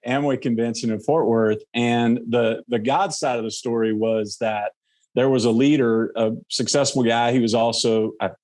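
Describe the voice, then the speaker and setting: A man's voice: mid-range voice, steady tone, normal speed, darkish tone. A man answering as a guest on a podcast, speaking in an earnest, reflective way with "um" fillers as he gathers his thoughts. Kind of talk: conversational interview answers.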